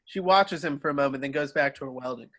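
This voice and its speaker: A man's voice: mid-range voice, fast talker, fairly bright tone. A man reading stage directions as the narrator of an amateur screenplay table read.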